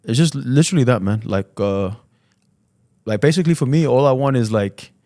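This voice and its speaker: A man's voice: medium pitch, expressive, brisk, mellow, no room sound, studio quality. A man from Fiji chatting casually as an interview guest, in slangy, informal speech full of "man" and "you know". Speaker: podcast guest, entrepreneur. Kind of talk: casual chat.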